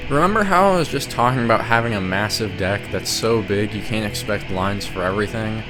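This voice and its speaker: Low-pitched man, wry commentary over music. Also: a little fast. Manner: opinionated, wry. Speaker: video creator narrating. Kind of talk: scripted commentary on a ranking. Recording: music behind the voice. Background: game music.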